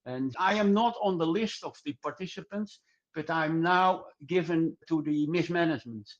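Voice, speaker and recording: Older male podcast guest, higher-set voice, halting and repetitive delivery, muffled low-fidelity sound. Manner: halting, repetitive non-native English. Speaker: podcast guest, club singer. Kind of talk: casual interview answers.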